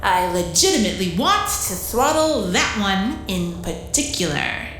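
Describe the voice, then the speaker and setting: A menacing metal lady , acted by a woman, draws her words out with theatrical swoops in pitch. She sounds ready for violence, her voice echoing in a sci-fi audio drama. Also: big pitch swings, unhurried, fairly bright tone. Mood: irritated. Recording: echoey, music underneath.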